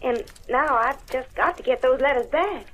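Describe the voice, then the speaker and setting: A woman's voice: high voice, normal speed, fairly bright tone, rough recording. A woman acting as a coy, flighty belle in an old radio mystery comedy, wheedling. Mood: coy, pleading. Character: coy, flighty Southern belle wife, a murder suspect. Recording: old radio recording with faint noise.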